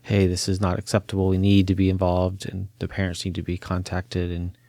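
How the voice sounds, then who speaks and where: A man in his forties who grew up in Chile, a counseling professor talking as a podcast guest, earnest and animated, laughing easily. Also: deep voice, fairly quick, vocal fry, no echo. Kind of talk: interview conversation. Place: podcast studio.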